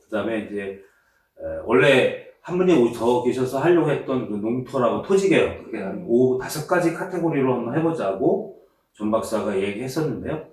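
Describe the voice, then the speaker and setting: A man's voice: medium pitch, normal speed. Man hosting a book chat in an echoey room. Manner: relaxed, conversational, leads the talk. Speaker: seminar host. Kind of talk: casual book discussion. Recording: very echoey room, mic far off.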